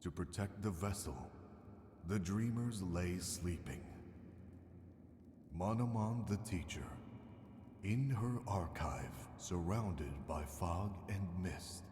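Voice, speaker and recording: Grave game lore narrator, ancient kingdom, slow measured delivery, cavernous reverb. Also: male voice, deep voice, animated, darkish tone. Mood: solemn, reverent. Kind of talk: solemn scripted lore narration. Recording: cavernous long reverb, noisy ambience behind.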